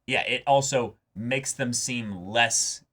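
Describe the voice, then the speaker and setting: A man's voice: mid-range voice, fairly even intonation, slow, a bit bright. A man co-hosting a reaction show in casual discussion, emphatic and given to repeating phrases for stress.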